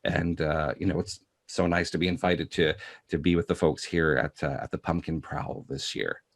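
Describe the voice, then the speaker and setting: A man's voice: deep voice, fairly even intonation, moderate pace, vocal fry, dry recording. A man from Montreal, chatting casually as a guest, reflective and enthusiastic. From Montreal, Canada. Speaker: interview guest. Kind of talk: casual chat.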